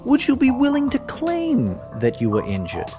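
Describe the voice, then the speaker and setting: A man voicing a pushy, scheming male lawyer who wheedles and coaxes in a raised, lively voice. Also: extremely high pitch, normal speed, over music, voice pushed up, exaggerated voice. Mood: wheedling.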